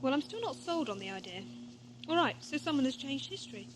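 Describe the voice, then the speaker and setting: An actress playing a forthright, sceptical time traveller's companion in an audio drama, questioning and insistent. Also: female voice, high-pitched, very animated, brisk, noisy background. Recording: 1980s amateur audio drama with loud music.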